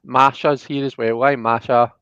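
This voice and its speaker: A man's voice: medium pitch, level tone, normal speed, a bit bright, clean tone, no room sound. Chatty male host, slightly dull recording. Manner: chatty, informal, friendly greetings. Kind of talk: casual live-stream chat.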